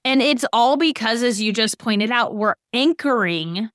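A woman's voice: fairly high pitch, animated, unhurried, a bit bright, no echo, clean studio sound. A woman in her late thirties co-hosting a podcast, explaining ideas and giving everyday examples in a casual way. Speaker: podcast co-host.

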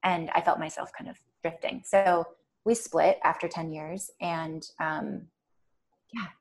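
A soft-spoken woman, a podcast guest chatting casually and rambling with many fillers. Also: lowish voice, steady tone, unhurried. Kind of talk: casual chat.

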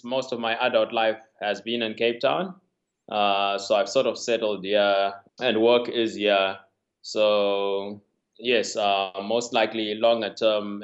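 A man of about thirty from Zimbabwe answers a podcast host over an internet call. His voice sits low, and the audio is dull and lo-fi. He talks easily and keeps softening his points with "sort of.". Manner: relaxed, hedging with "sort of" and "yeah". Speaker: software engineer guest.

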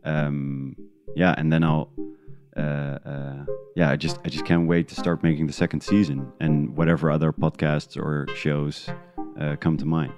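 A man from the Netherlands, a podcast creator chatting casually as a guest in halting phrases. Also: rumbling low, level tone, music in the background. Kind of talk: casual chat.

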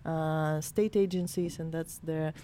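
A woman from Ukraine talks in a low, mellow voice, pausing often with fillers and restarts. Faint noise sits behind her in the studio. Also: fairly even intonation. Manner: hesitant, many fillers and restarts. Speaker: tech promotion representative. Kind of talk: studio discussion.

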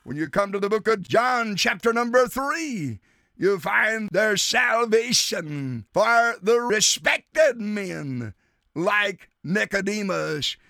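High, gravelly shouting preacher man. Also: expressive, slow, dry recording, clean studio sound. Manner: impassioned, often shouting, stretching out key words; repeats appeals like "dear sinner" and "my friend". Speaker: radio preacher. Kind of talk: evangelistic sermon.

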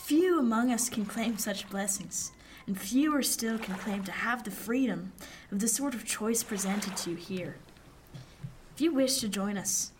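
A woman playing a self-possessed, formal seafaring man in a period radio drama, speaking with deliberate care. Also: fairly high pitch, a little hiss or hum, close to an everyday voice. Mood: grave, persuasive.

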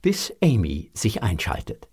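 A man narrating a scripted children's audio drama in a measured, suspenseful way. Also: lowish voice, wildly swooping pitch, a little mellow, husky.

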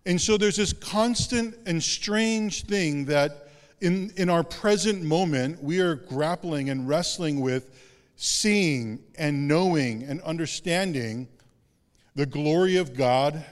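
A male pastor giving a sermon in a conversational, self-deprecating way with casual asides. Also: takes it slowly. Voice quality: deep voice. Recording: long hall reverb.

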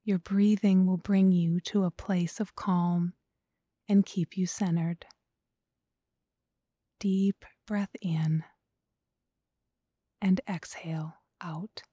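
A woman guiding a breathing meditation, speaking slowly and softly and often in a whisper. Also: lowish voice, steady tone, slightly rough, no echo. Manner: slow, soft, often whispered. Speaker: meditation guide.

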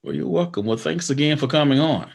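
A man's voice: fairly high pitch, lively intonation, fast talker, creaky, husky. A man hosting a podcast interview, talking loosely with lots of fillers and restarts, then rallying listeners in an upbeat sign-off. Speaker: podcast host. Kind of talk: casual interview chat and show outro.

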